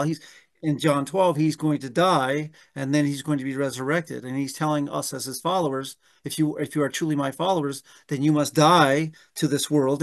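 A gravelly, slightly high-voiced man in his fifties teaches a Bible study over a webcam call from his home. He talks things through aloud, restarting his sentences and checking in with the group with a rhetorical "right?". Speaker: pastor leading a Bible study. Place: room at home. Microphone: webcam on a video call.

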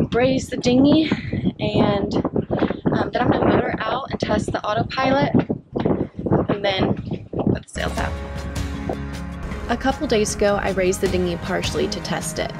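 A young woman in her twenties talking casually to a handheld camera on a sailboat deck, thinking aloud with fillers. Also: mid-range voice. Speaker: sailing vlogger. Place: outdoors on a sailboat deck at anchor. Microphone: camera held at arm's length. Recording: noisy outdoor wind and water.

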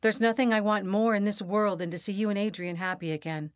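An actress playing a villain's devoted, composed assistant in an animated game, formal, restrained and earnest. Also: female voice, medium pitch, fairly quick, a little hiss or hum, close to an everyday voice. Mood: regretful. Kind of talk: dramatic animated game cutscene acting.

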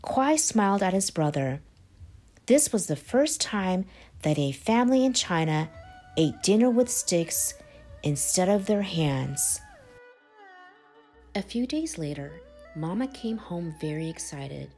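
A woman reading a children's picture book aloud in a measured, animated storytelling manner. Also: lowish voice. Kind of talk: expressive storybook read-aloud. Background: background music.

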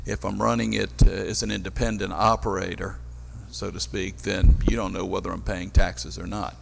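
Older man with a very gravelly voice. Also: deep voice, level tone, noisy background. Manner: halting, deliberate. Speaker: city council member questioning staff. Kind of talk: formal meeting questioning. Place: council chamber, indoors. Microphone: gooseneck desk microphone. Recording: reverberant meeting hall.

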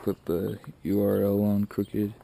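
A man's voice: lowish voice, flat delivery, a little slow, vocal fry, dark tone, slight background noise. A young man talking casually to an action camera outdoors while fishing, in a plain, matter-of-fact way. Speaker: fishing vlogger. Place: outdoors by a truck and a river at dusk. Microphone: wide-angle action camera held close.

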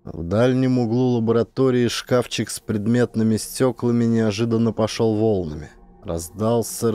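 Guy narrating a sci-fi audiobook, low soft-toned voice, suspenseful and dramatic. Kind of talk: dramatic scripted story narration.

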